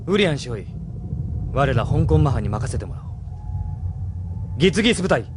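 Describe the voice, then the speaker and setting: A man's voice: medium pitch, sing-song, a little fast, noisy background, close to an everyday voice. A man playing a proud, self-assured middle-aged military major in a Japanese audio drama. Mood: commanding. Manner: assured, commanding.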